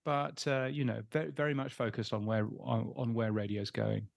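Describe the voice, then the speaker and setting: A middle-aged man with an English accent, answering interview questions in a casual, halting way with many ums and restarts. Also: mid-range voice, steady tone, gravelly, no echo, clean studio sound. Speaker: interview guest.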